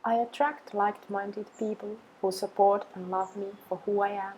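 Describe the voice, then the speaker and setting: A woman leading a guided affirmation meditation, speaking in slow, measured phrases with long pauses. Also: mid-range voice, steady tone, a little mellow, lo-fi sound, slight background noise.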